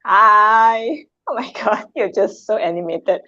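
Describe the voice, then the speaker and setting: A woman's voice: mid-range voice, takes it slowly, sharp tone, dry recording. Chatty Malaysian woman, lo-fi audio. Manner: chatty, laughs often, rhetorical questions. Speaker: guest. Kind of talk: casual chat. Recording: dull lo-fi sound.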